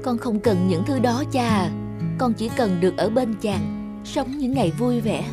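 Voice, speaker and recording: A woman plays a young deer spirit maiden in a cartoon fairy tale. She is sweet, lovestruck and earnest, speaking tenderly over clear background music. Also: a little high, measured pace, close to an everyday voice. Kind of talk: dubbed cartoon folk tale.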